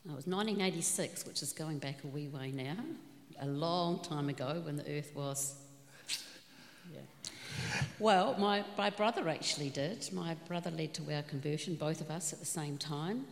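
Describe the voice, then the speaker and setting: Woman, New Zealand accent, low voice, conversational, church guest. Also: moderate pace. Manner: plainspoken and conversational. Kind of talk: telling her faith story.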